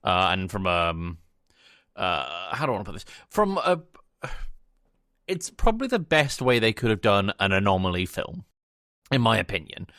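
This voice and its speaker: A man's voice: fairly low pitch, big pitch swings, normal speed, a bit bright, no echo, clean studio sound. A man co-hosting a podcast, chatting casually in a blunt manner. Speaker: podcast host.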